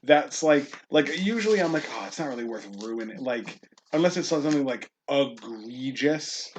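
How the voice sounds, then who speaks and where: A man's voice: mid-range voice, animated, normal speed, slight background noise. A young man co-hosting a podcast in casual chat, rambling and filling his talk with "like". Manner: rambling, heavy use of "like", many restarts. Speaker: podcast co-host.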